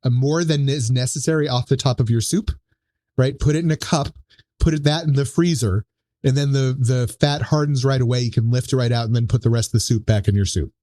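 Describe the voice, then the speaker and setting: A man's voice: mid-range voice, fairly quick, dark tone, no room sound. A man hosting a podcast, running a trivia quiz in a casual, chatty style. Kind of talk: casual quiz-show chat.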